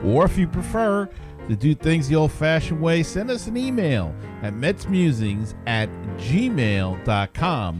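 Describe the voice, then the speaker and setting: A man hosting a sports podcast, talking casually in a halting, conversational way. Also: mid-range voice. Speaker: podcast host.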